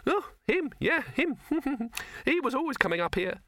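A man voicing a grudging, sarcastic male rabbit in a squeaky voice for a storybook reading. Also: very animated, a little slow, pushed and bright, no room sound, studio quality, heavily acted. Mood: grumbling.

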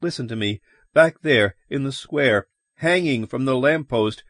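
A man's voice: medium pitch, measured pace, no room sound, studio quality, voice pushed up. A male narrator voicing an agitated, bewildered ordinary man in a dramatic story reading. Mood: agitated, urgent. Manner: urgent, pleading, excitable.

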